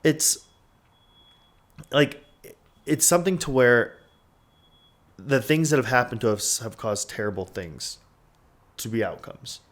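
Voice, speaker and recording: A man's voice: mid-range voice, slow, pauses often. A man in his twenties hosting a podcast, talking candidly and rambling with lots of "like" and frequent swearing. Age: 20s. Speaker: podcast host. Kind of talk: candid casual podcast talk.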